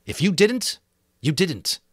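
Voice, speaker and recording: A man voicing a stern boy leader under pressure, sharp and clipped, in a dramatic novel reading. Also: a little high, sing-song, slow, breathy edge, no room sound, clean studio sound, voice pushed up, heavily acted. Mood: sharp, annoyed.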